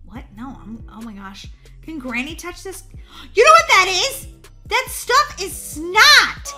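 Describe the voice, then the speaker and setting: A woman hosting a gaming video, giving excited, playful and dramatic gameplay commentary for young viewers. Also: high voice.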